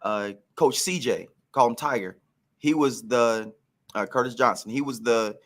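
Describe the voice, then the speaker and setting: A man's voice: medium pitch, a little slow, a bit bright. A man, a former pro football receiver, telling career stories on a podcast in a reflective, emphatic way.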